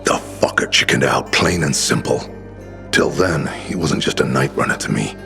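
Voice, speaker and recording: Bitter, very deep, gravelly older leader. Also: male voice, moderate pace. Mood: bitter, angry. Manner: blunt, sardonic, swearing. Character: bitter, gruff older male resistance leader. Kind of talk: video game character dialogue. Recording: music behind the voice.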